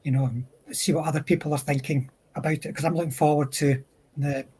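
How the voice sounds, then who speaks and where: A man's voice: fairly high pitch, fairly even intonation, fast talker, darkish tone, slight background noise. A man speaking as a guest in a casual livestream chat about tabletop wargaming.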